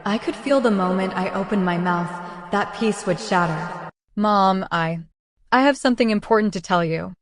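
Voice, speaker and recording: A woman playing a frightened but determined pregnant teenage girl in a dramatic roleplay story, halting and emotional. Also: medium pitch, close to an everyday voice. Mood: anxious. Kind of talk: dramatic first-person roleplay story acting and narration. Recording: echoey, long reverb, music underneath.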